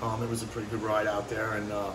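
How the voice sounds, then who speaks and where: Halting middle-aged angler, off-mic. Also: male voice, lowish voice, completely flat delivery, recorded from a distance. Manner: halting, lots of fillers. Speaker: tournament winner being interviewed. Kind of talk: casual interview. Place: bait and tackle shop. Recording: roomy, off-mic, noisy.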